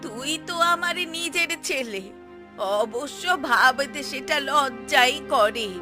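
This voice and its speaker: A woman voicing a scolding elderly mother who shames her grown son in a drawn-out, nagging cartoon delivery. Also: sits high, sharp tone, soft background music, exaggerated voice. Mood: scornful, nagging. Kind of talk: cartoon story voice acting.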